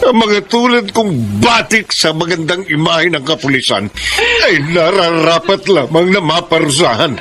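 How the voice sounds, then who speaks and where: A man's voice: a little high, expressive, normal speed, natural voice. A man acting a corrupt Filipino policeman and remorseful father in an emotional, melodramatic radio drama. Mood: remorseful, pleading. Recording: radio drama with loud music behind.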